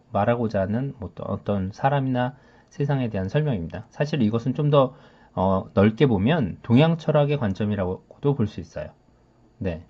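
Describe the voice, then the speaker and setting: Lively-pitched male Korean language teacher. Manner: explains step by step, checking in with rhetorical questions. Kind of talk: informal explanatory lecture on vocabulary. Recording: dull, lo-fi sound.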